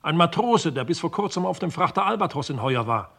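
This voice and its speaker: An actor playing a dutiful, matter-of-fact police sergeant in a 1960s German radio crime drama. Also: male voice, on the high side, big pitch swings, brisk, gravelly, fairly bright tone, slightly rough, exaggerated voice.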